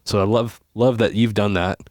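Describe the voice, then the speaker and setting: A male podcast host with a very deep voice keeps the conversation moving, affirming his guest and following up with quick, upbeat replies. The sound is dry and close to the mic, with no room around it. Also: fairly even intonation, a little mellow, no room sound. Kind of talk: casual podcast interview.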